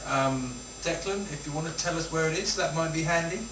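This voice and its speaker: A man's voice: a little high, fairly quick, recorded from a distance. A British man hosting a casual unscripted news chat, teasing and mock-grumbling as he goes. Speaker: host of an amateur news show. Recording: echoey room, off mic, noisy hum.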